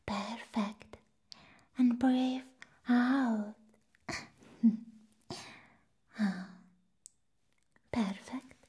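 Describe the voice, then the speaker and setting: A young woman playing an eccentric, flustered vampire nurse in a whispered ASMR roleplay, slow and halting, repeating her words. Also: fairly high pitch, mellow, full character voice. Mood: slow, soothing. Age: young adult. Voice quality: breathy, whispery. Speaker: ASMR performer. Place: small indoor room set as a clinic. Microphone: close microphone.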